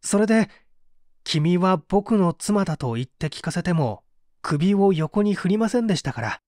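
Murder suspect, male, played by a man reader: distressed, defensive, pleading, high-strung delivery with big pitch swings, for mystery audiobook. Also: expressive, moderate pace, a little mellow, no echo, studio quality. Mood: defensive, insistent. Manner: agitated, pleading, protesting. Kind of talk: dramatic audiobook reading.

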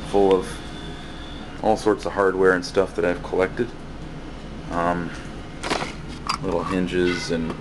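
A man's voice: low voice, noisy background. A man giving a casual, rambling walkaround tour of a cluttered basement workshop where he does building maintenance, talking with many fillers and restarts into a handheld camera. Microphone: handheld camera mic, speaker off screen.

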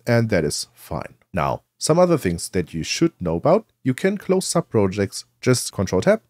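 Male tutorial presenter, conversational explainer who poses questions and answers them, slightly low pitch, no room sound. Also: huge pitch swings, moderate pace, a little mellow, dry recording, clean studio sound. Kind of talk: software tutorial narration.

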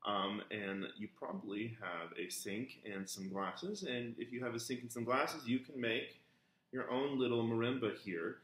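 A man in his thirties, a music teacher giving a relaxed, chatty lesson at home, full of ums and uhs, recorded by a camera on a kitchen table. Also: mid-range voice, unhurried. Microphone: camera on the table in front of him. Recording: echoey kitchen with faint noise. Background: glasses clinking.

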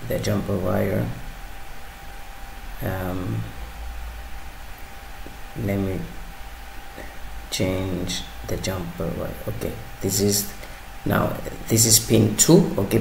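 Man, low creaky fry-heavy voice, halting tutorial narration, echoey room. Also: darkish tone. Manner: halting, hesitant, repeats words while working. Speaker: electronics tutorial host. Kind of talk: unscripted hands-on tutorial narration. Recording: roomy sound with faint background noise.

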